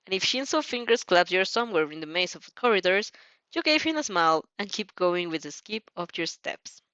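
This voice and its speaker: Giggly girl narrator reading fanfic, woman, super animated, trips over words, dry kinda muffled mic. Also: on the low side, fairly quick, dry recording. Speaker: fan fiction narrator. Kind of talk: expressive story reading with spontaneous reactions.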